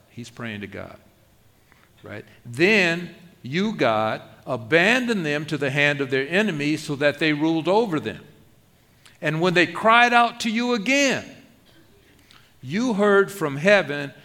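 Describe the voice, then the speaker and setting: A man's voice: medium pitch, pushed and bright. A man preaching a sermon as pastor, conversational and self-deprecating, often asking the congregation rhetorical questions.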